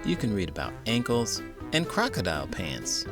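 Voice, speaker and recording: A man's voice: on the low side, huge pitch swings, normal speed. A man hosting a children's storybook read-aloud, theatrical and full of big emotion, stretching words for effect. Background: music.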